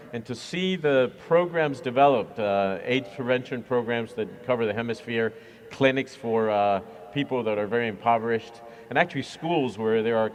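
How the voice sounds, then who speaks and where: Church minister guy talking earnest, lots of uhs, low hiss behind him. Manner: earnest, reflective, with frequent fillers. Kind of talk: conversational interview.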